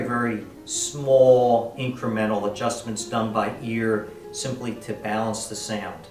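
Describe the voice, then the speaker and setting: Roomy, distant man giving a product review. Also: medium pitch. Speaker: audio equipment reviewer. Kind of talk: spoken product review to camera, semi-scripted. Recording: roomy echo, distant from the mic. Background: quiet plucked guitar or lute music.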